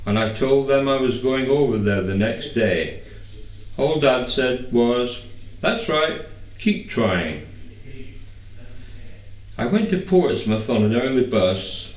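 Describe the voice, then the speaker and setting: A man's voice: mid-range voice, darkish tone. A man reading a first-person novel aloud as scripted narration. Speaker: audiobook reader. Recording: echoey room, distant microphone.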